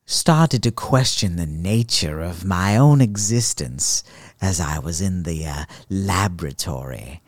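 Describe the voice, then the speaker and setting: A man doing a deep, deadpan stitched-together monster. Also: dry recording, studio quality. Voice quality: very low voice. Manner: slow, deadpan, overly proper wording. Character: male Frankenstein-style monster, formal, calm, deep-voiced. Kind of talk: improvised comedy podcast character.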